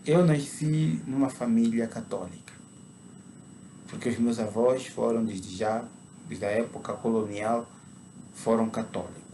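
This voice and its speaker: A man in his twenties with an Angolan Portuguese accent, giving a conversion testimony as a sermon and speaking slowly and deliberately, at times with emphatic preaching. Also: mid-range voice, warm tone, slight background noise. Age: 20s.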